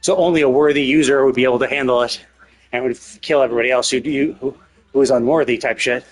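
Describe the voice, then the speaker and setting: A man's voice: medium pitch, fairly even intonation, quick pace, poor audio. A man livestreaming a game, thinking aloud in a casual, rambling, hesitant way with some swearing. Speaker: live game streamer.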